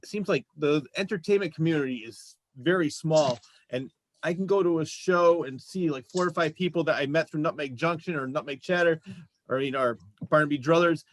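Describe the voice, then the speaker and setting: A man's voice: a little high, a little fast, a little hiss or hum. A man hosting a talk show, chatting casually and jokily with his guests. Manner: jokey, chatty. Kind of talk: casual interview chat.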